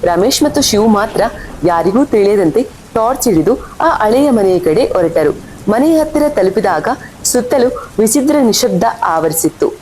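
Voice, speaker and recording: A woman's voice: expressive, normal speed, darkish tone, low-quality recording. A woman reading scripted horror story narration as the storyteller. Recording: faint rumble and rain behind voice.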